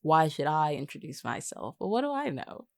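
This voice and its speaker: A woman with a low-pitched voice chats casually as a podcast co-host. She teases and grumbles dryly, bantering in an unscripted way. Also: sing-song, normal speed, gravelly, a little mellow, no echo, studio quality. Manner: teasing, dryly complaining.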